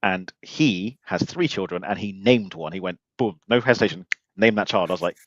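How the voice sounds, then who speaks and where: A man's voice: low voice, lively intonation, moderate pace, no room sound, muffled recording. A man hosting a podcast, chatty and jokey, who now has children of his own. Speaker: podcast host. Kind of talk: casual chat.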